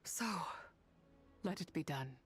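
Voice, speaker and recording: Solemn warrior spirit, female: low-leaning, unhurried, weary dignity, fantasy game. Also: animated, slightly rough, soft background music, full character voice. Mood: solemn, resigned. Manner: grave, formal, measured. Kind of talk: video game voice acting.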